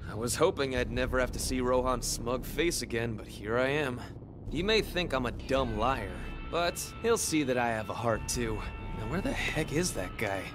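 A man voicing a cocky, scheming teenage delinquent in an animated English dub, with slangy, theatrical delivery. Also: mid-range voice, moderate pace, natural voice. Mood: defiant. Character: brash, scheming teenage delinquent boy, cocky and smooth-talking. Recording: background music under the voice.

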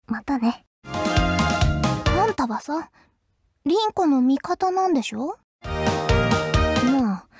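A woman's voice: sits high, full character voice. An actress playing a possessive, standoffish teenage girl with a hidden sweetness in an anime-style game. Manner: curt, coy, refers to herself by name. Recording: loud game music behind the voice.

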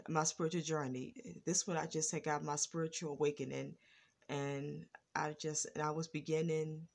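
A woman's voice: sits low, gravelly, darkish tone. A woman vlogger talking casually to her phone camera, stuttering and restarting her words. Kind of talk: casual reaction vlog. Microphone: phone front camera.

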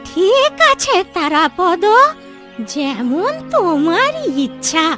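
A woman's voice: very high voice, big pitch swings, very slow, a bit bright, soft background music, exaggerated voice. A woman voicing a poor, worried old village grandmother in a cartoon story, with a plaintive, complaining way of talking. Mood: busy, scolding. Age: older. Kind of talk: Bengali cartoon story voice acting.

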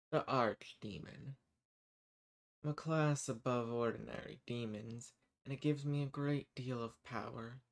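A man voicing an arrogant, menacing archdemon in a dramatic audio roleplay, speaking in a condescending, theatrical, unhurried manner. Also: mid-range voice, lightly acted. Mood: haughty. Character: arrogant, menacing male archdemon who strikes bargains.

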